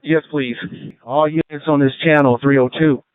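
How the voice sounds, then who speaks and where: A man's voice: on the high side, fairly even intonation, moderate pace, smooth, no room sound, phone-quality sound. An American male police officer giving plain-spoken instructions to units over a police radio. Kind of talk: operational radio dispatch talk. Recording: band-limited police radio transmission.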